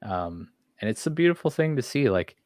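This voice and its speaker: A man's voice: mid-range voice, sing-song, moderate pace, a little mellow, no echo, studio quality. A man co-hosting a podcast, chatting casually and enthusiastically about horror series in an informal way. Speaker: podcast co-host.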